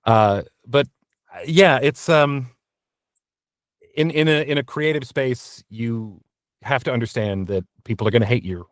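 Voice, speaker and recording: A man's voice: medium pitch, a little slow, no echo, studio quality. A man answering interview questions as a guest, casual and candid, often thinking aloud in informal language.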